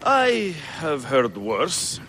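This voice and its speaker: A slow, dry arena official. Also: male voice, a little high, huge pitch swings, bright, forceful tone, slight background noise, full character voice. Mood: dry, businesslike. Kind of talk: dramatic video game acting.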